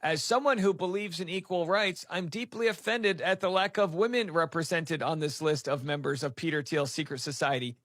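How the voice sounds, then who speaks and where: A man's voice: on the high side, normal speed, few pauses, fairly bright tone, dry recording, studio quality. A man giving news explainer commentary in short, punchy phrases with a dramatic, tongue-in-cheek manner.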